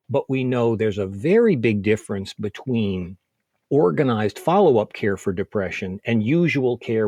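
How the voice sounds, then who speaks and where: A man speaking as a physician in an interview, explaining things methodically in measured, orderly points. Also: lowish voice, darkish tone, no room sound, studio quality. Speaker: physician, expert interview guest.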